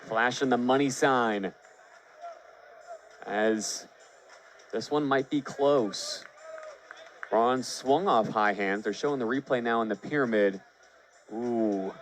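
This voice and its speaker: A man's voice: medium pitch, measured pace, long pauses, slight background noise. A man calling live sports on the radio as a play-by-play announcer, fluent and descriptive, lifting his voice on big plays. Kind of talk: live sports commentary for baseball and volleyball.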